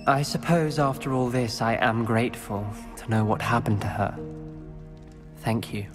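A man's voice: medium pitch, moderate pace, close to an everyday voice. A man playing an anxious, principled teenage wizard in a video game, earnest and solemn. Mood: subdued. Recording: music under the voice. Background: music.

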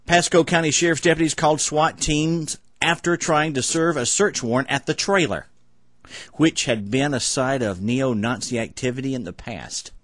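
A man's voice: medium pitch, no room sound. A middle-aged man hosting an amateur news show, reading stories casually with sarcastic comic asides. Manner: sarcastic, wry. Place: small home room at a desk. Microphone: desk microphone close in front.

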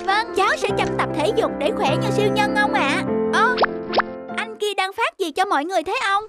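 A woman's voice: very high voice, moderate pace. A woman voicing an eager, chatty, slightly whiny little child in a cartoon. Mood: eager, curious. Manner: childish, chatty, polite to elders. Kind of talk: cartoon voice acting. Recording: music playing behind the voice.

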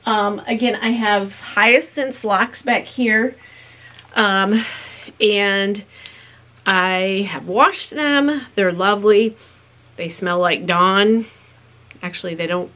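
Woman, 50s, raspy from a cold, bright voice, chatty, many ums, casual vlog to camera, small room at home. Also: mid-range voice, takes it slowly, pauses often. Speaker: fiber-craft vlogger. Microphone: camera in front at desk distance.

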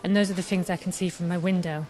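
A woman's voice: lowish voice, steady tone, fast, darkish tone, soft background music, rough recording. A young woman from Scotland, an artist being interviewed live in a pub, talking enthusiastically with many fillers into a handheld microphone. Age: young adult. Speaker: artist guest. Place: busy pub. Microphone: handheld mic held by host.

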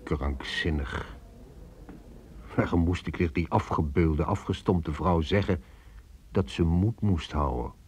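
An actor playing a weary, brooding businessman who narrates wartime memories in a reflective, measured way for a radio drama. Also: low-pitched, darkish tone, husky. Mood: brooding, bitter.

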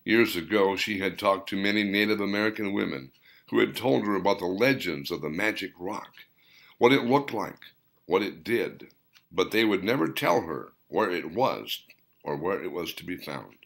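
Low, gravelly man narrating with animated pitch. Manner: deliberate, theatrical, short phrases. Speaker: author reading own novel. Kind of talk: dramatic audiobook narration.

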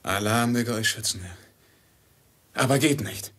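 Husky, anxious servant. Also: male voice, lowish voice, unhurried, fairly bright tone. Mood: pleading. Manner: deferential, urgent. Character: loyal, anxious male servant of a caravan merchant. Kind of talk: 1950s German radio play acting.

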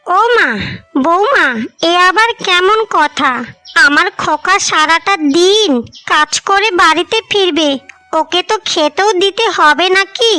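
A woman's voice: very high-pitched, unhurried, pushed and bright, no room sound, heavily acted. A woman playing a worried, pleading older village mother-in-law in a moral-story cartoon, coaxing and reproachful.

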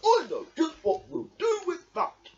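A man's voice: very high voice, huge pitch swings, measured pace, creaky, highs cut off, voice pushed up, exaggerated voice. A young male voicing a cheerful, kindly storybook badger in an exclamatory, sing-song way. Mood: hearty.

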